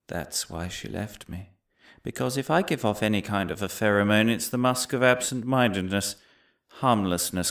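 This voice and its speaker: Man acting a low-voiced, eccentric crackpot professor. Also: a bit bright, no echo, clean studio sound. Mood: self-deprecating, confiding. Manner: rambling, wordy, swinging from muttering to ranting. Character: eccentric, unhinged middle-aged male academic conspiracy theorist. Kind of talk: theatrical audio drama monologue.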